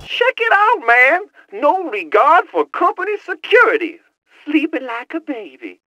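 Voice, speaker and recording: A cocky, slangy hacker sidekick. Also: male voice, very high voice, huge pitch swings, unhurried, bright, forceful tone, no room sound, full character voice. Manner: boastful, teasing, slangy street talk. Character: cocky young male hacker sidekick on a headset. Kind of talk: video game cutscene voice acting.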